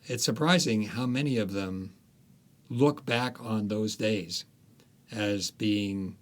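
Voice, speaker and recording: A man, an author, talking through his ideas in a casual interview, thoughtful and halting, with frequent restarts. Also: fairly low pitch.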